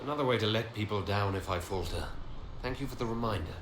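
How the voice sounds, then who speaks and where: An actor playing an earnest, dutiful leader of an army in a fantasy video game. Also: male voice, fairly low pitch, fast talker, natural voice. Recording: echoey, ambient noise behind.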